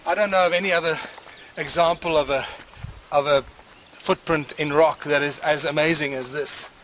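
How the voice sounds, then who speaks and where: A man's voice: a little high, fairly even intonation, moderate pace, a bit bright, slight background noise. A man presenting an informal outdoor guided tour in an enthusiastic, marvelling manner. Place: outdoors at a rocky outcrop. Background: music.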